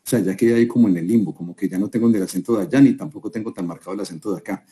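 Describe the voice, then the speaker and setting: Colombian male speaker, low and very dark voice, chatty seminar delivery to a room. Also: racing. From Colombia, Quindío. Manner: conversational, asks the audience rhetorical questions. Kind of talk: live talk to an audience. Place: room with a live audience.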